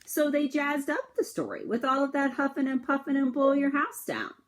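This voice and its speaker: A woman's voice: sits high, expressive, a little hiss or hum, voice pushed up. A woman voicing an aggrieved wolf who excuses himself in a folksy, insistent way, reading a children's picture book aloud. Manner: folksy, insistent, drawn-out emphatic storytelling. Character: aggrieved, self-excusing wolf telling his side of events.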